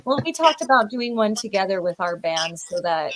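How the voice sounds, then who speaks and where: A woman's voice: mid-range voice, big pitch swings, moderate pace, background noise. A woman from North Dakota co-hosting a casual live-stream chat, upbeat and chatty. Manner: chatty, upbeat, laughs often. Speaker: co-host.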